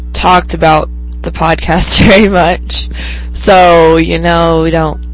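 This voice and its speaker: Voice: medium pitch, poor audio. A teenage podcast host with a cold, sniffling and congested, chatting on their own in a rambling way with many ums and restarts. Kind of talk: casual solo podcast chat.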